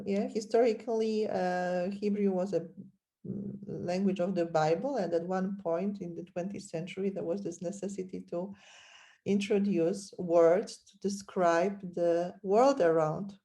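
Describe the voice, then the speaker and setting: Slow-talking woman host, mellow voice. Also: medium pitch, fairly even intonation. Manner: deliberate, many uhs. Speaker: podcast host. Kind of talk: interview conversation.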